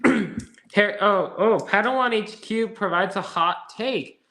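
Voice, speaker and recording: A man's voice: high-pitched, unhurried, pushed and bright, roomy sound. A man hosting a casual podcast, chatting and reading out viewer comments. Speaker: podcast host reading live chat. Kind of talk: casual chat.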